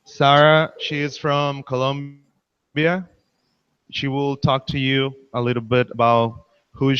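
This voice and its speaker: A man with a clean, resonant voice hosts a guest as a class teacher. He speaks slowly and informally, and the close, dry sound is a little muffled and lo-fi. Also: mid-range voice, a bit bright, clean tone, dry recording. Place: classroom video conference. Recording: slightly dull, lo-fi audio.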